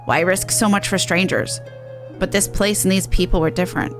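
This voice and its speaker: A gentle female refugee in a fantasy game , read aloud by a woman. She is grateful, polite and earnest, with formal wording. Music plays clearly behind her. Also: on the low side, fairly even intonation, normal speed, lightly acted. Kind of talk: reading game dialogue aloud.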